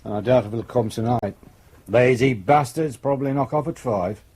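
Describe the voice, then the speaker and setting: An actor playing a curt, cheerless senior British detective in a naturalistic radio drama, speaking tersely. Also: male voice, medium pitch, moderate pace, a little mellow, lightly acted. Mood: curt, dour. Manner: terse, gruff in attitude.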